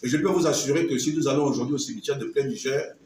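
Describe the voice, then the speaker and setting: A man, leading a political movement, giving an emphatic public speech with measured pauses. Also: mid-range voice, a little mellow, a little hiss or hum. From Gabon. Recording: echoey, distant mic with faint ambience.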